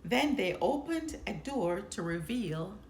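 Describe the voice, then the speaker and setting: A woman reading a children's storybook aloud with expressive, deliberate phrasing. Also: mid-range voice. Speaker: storybook reader. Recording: roomy echo, some background noise.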